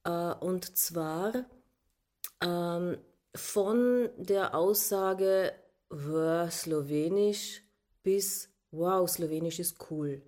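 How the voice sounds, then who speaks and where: A Carinthian woman. Her voice is mellow and sits a little low. She talks thoughtfully, pausing and restarting often. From Carinthia, Austria. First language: Slovene. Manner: thoughtful, many fillers and restarts. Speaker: teacher, guest. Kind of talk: personal account in interview.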